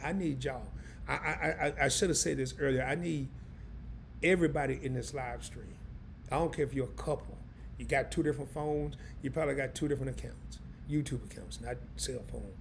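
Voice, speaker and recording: A hoarse man hosting a live stream, chatting loosely and in slang straight to his viewers. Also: mid-range voice, slight background noise. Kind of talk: casual live stream chat.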